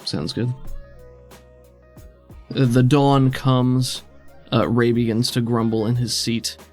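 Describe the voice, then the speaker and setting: A man's voice: mid-range voice, level tone, takes it slowly, darkish tone, faint music behind. A man speaking casually as game master, narrating a tabletop game and explaining its rules.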